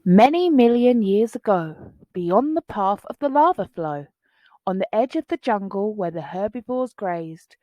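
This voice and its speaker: A woman's voice: mid-range voice, dark tone, no room sound. A woman reading a children's picture book aloud in a dramatic, rhythmic, singsong way. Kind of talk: expressive storybook read-aloud.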